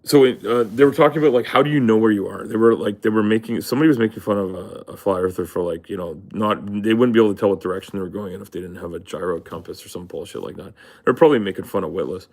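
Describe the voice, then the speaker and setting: Brief: a man, a bit low voice, casual live stream host, rambling and profane. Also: rapid-fire, a little mellow. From Canada. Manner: rambling, profane, full of fillers. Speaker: livestream host. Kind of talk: casual livestream rant.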